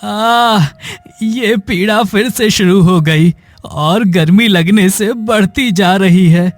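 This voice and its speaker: A man playing a poor, sick village man in a cartoon moral story, sorrowful, gentle and pleading. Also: high-pitched, takes it slowly, no echo, faint music behind. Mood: pained, pleading. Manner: plaintive, suffering.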